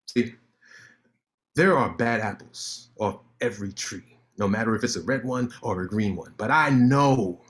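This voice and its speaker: Guy playing a scornful proud lawyer, sarcastic and cutting, voice jumps up and down a lot. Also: on the low side. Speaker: actor in a staged reading. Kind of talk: staged play reading.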